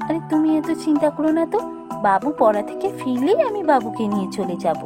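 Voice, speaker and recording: A woman voicing a caring, solicitous wife and mother in a cartoon, expressive. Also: high-pitched, a little fast. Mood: concerned. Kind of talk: cartoon voice acting. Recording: music behind the voice.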